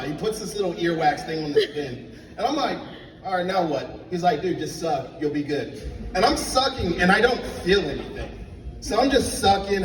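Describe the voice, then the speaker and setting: A young man from Liberia performing a stand-up comedy set in a club, telling animated stories and acting out both sides of the dialogue. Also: sits high. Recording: echoey club sound from a distance. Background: audience laughter.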